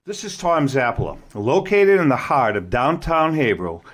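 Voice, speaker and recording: A man's voice: mid-range voice, very animated, normal speed, soft background music. A man reading a scripted radio advertisement. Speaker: radio ad presenter.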